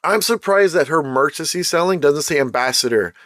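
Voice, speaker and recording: Host, man, casual blunt rant, slightly high voice, hoarse and fading, dry close sound. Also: expressive, brisk, a bit bright, no room sound. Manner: blunt, emphatic, repeats points. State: losing his voice, throat-clearing. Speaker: channel host. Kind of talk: casual ranting commentary.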